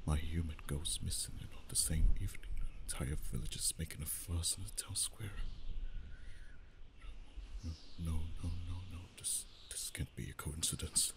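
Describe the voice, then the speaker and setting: A man's voice: very deep voice, moderate pace, noisy background. A man voicing a proud, anxious dragon in human form in an ASMR roleplay, with haughty, theatrical, brooding delivery. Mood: anxious muttering. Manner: theatrical, brooding muttering with haughty archaic phrasing.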